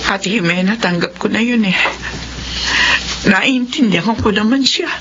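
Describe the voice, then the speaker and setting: A woman playing a remorseful, gentle and pleading mother of grown children in a Filipino radio drama. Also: medium pitch, a little slow, lightly acted. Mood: gentle, resigned. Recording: muffled radio broadcast with loud music.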